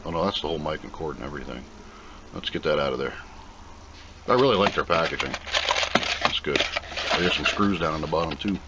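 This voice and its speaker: A man's voice: rumbling low, a little fast, pauses often, background noise. A plainspoken man giving an off-the-cuff, casual commentary as he reviews and unboxes a product.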